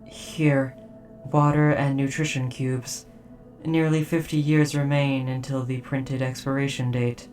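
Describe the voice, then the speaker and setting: A performer voicing an old, stoic, protective military robot in a formal, measured, literal, deadpan way for a scripted audio roleplay. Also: medium pitch, far from the mic, soft background music, lightly acted. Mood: stoic, matter-of-fact.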